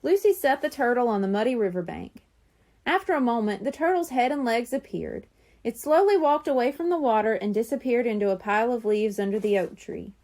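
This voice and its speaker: A woman reading a children's chapter book aloud in an expressive storytelling manner. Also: fairly high pitch, brisk. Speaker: audiobook reader.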